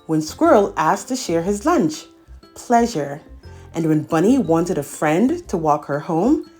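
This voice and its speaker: Female storyteller for a children's picture-book read-aloud. Lowish pitch, very lively intonation, music underneath. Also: a little slow. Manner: animated, addressing child listeners.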